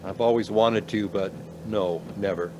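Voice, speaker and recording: An actor playing a polite, gentle middle-aged professor in a radio play. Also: male voice, on the low side, fairly even intonation, a little slow, highs cut off, slight background noise, lightly acted. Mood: mild, musing.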